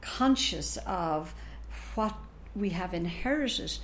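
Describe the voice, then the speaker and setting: An older woman with an Irish accent whose first language is Irish, answering interview questions in a thoughtful, unhurried, explanatory way as a retired professor. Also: on the low side, lively intonation, a little hiss or hum. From Ireland.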